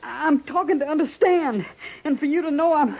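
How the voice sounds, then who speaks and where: An actor playing a frightened young army deserter, pleading and stammering, with a voice. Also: male voice, extremely high pitch, normal speed. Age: young adult. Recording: tinny old radio broadcast.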